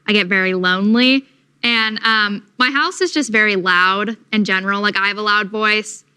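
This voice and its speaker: A woman's voice: medium pitch, moderate pace, bright, forceful tone, clear, resonant tone. A teenage girl chatting as a panel guest, bubbly and chatty with lots of fillers. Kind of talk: casual chat.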